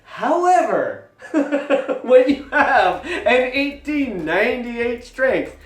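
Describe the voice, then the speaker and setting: A man in his forties telling a personal story casually as a gaming vlogger, with theatrical emphasis and dramatic pauses. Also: very high voice, sing-song. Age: 40s. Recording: roomy, echoing sound.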